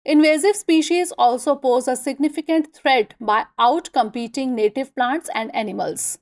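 Woman reading exam notes, slightly high voice. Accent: Indian English. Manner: even, measured reading of list items. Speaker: exam-prep lecturer. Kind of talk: scripted narration over slides.

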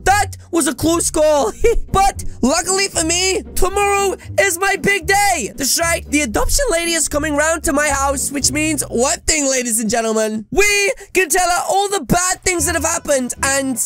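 Man, twenties, very high voice, theatrical gaming host, naive-kid act, exclamatory, faint music. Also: normal speed, hardly any pauses, fairly bright tone. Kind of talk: playful role-play game commentary. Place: small home gaming room.

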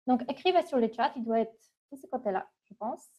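A middle-aged woman from Poland with a Polish accent, presenting an informal webinar in a halting, conversational way. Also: on the high side, animated. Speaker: university international relations manager, webinar presenter. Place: small office, indoors. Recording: dull, lo-fi webcam audio.

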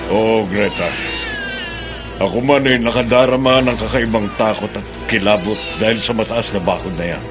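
An actor playing a concerned, earnest village mayor in a horror radio drama, with music behind him. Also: male voice, fairly low pitch, normal speed, close to an everyday voice. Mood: uneasy, resolute. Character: concerned male village mayor, dutiful and uneasy.

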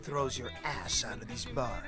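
A man's voice: medium pitch, fairly even intonation, unhurried, lightly acted. An actor playing a cocky, hostile street thug in a video game, swaggering as he talks. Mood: cocky, taunting. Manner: taunting, swaggering. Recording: bar music behind.

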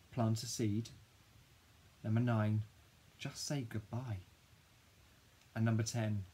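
A man voicing a grieving, dramatic ten-year-old boy who tells his own story in an expressive, husky storybook voice. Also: on the low side. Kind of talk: first-person children's audiobook narration.

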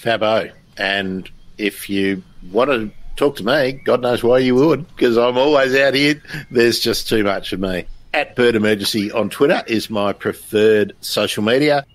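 A man's voice: mid-range voice, a little slow, few pauses, no echo, low-quality recording. A man hosting a live stream in a casual, chatty, self-deprecating way. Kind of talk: casual chat.

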